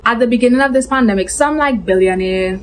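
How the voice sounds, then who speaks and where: A young woman with a Caribbean English accent, vlogging her opinions in an outspoken, animated way, full of "like", from a small bedroom. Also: fairly high pitch, fast, slight background noise. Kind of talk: casual opinion vlog.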